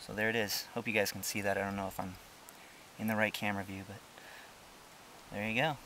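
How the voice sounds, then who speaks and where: A young man with a slightly low voice talks through his outdoor trail hike in a loose, chatty way, full of ums and restarts. He is recorded on the handheld camera he carries while walking and filming. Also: brisk, slight background noise, low-quality recording. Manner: relaxed, chatty, explaining with many fillers. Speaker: ant-keeping channel host. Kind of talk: casual hiking vlog narration. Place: outdoors on a brushy hiking trail.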